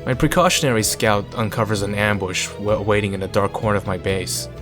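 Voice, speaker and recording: A man's voice: fairly low pitch, steady tone, fairly quick, music in the background. A man reading a visual novel aloud in a narrating style, with casual asides.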